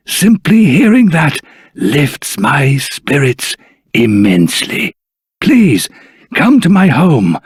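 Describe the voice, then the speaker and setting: An actor voicing a dignified elder of a dragon race in human form, speaking in a formal, courteous, earnest and husky voice for a fantasy video game. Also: male voice, medium pitch, no echo, clean studio sound, full character voice. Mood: earnest pleading.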